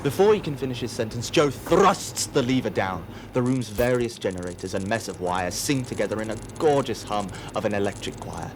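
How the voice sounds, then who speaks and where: A man's voice: lowish voice, expressive, a little fast, few pauses, background noise. A man giving scripted audio drama narration in a wry, theatrical manner.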